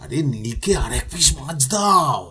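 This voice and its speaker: Theatrical, husky, high-pitched husband. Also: male voice, sing-song, a little slow, sharp tone, full character voice. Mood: jovial, teasing. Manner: wordy, playful, theatrical. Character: jovial, teasing, talkative husband and brother-in-law. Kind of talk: audio drama acting.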